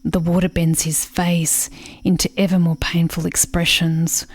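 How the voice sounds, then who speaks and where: An actress in a radio play playing a grieving, watchful country sister, narrating in a reflective, measured way. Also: female voice, lowish voice, level tone. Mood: wistful.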